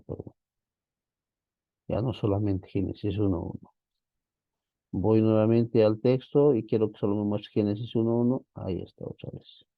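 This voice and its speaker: A man teaching an online class, walking through study software on screen while thinking aloud, often tagging his sentences with a questioning "right?". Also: low-pitched, gravelly, no room sound, phone-quality sound. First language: Spanish. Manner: casual, thinking aloud, frequent "¿no?" tags. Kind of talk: screen-share software walkthrough.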